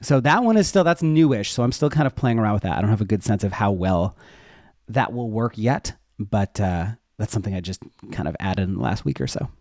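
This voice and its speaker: A man's voice: lowish voice, lively intonation, brisk, darkish tone, dry recording, studio quality. A man talking casually and informally as an interview guest, explaining how he works in a conversational way. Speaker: interview guest, web developer and educator.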